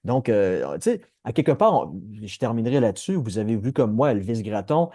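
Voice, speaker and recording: A man's voice: on the low side, sing-song, fairly quick, dark tone, no echo, clean studio sound. A man with a Québécois French accent, hosting a political podcast and talking through his views with many restarts and hesitations. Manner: discursive, frequent restarts and hesitations. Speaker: podcast host. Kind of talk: analytical political discussion.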